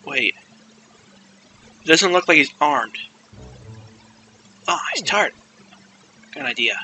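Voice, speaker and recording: A man's voice: a little high, sing-song, slow pace, pushed and bright, breathy edge, soft background music, voice pushed down, exaggerated voice, low-quality recording. A man voicing a boastful, panicky young boy hero in a comedic video game dub, exclaiming and narrating himself dramatically. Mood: sneaky, boastful.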